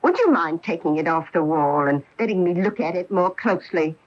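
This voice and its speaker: Older schoolmarm, tart and thrifty, lowish lively voice, muffled old radio play. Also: female voice, a little fast. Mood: dry, curious. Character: older retired schoolteacher, a thrifty, tart small-town spinster. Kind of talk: 1980 radio drama acting. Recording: dull 1980 AM radio broadcast.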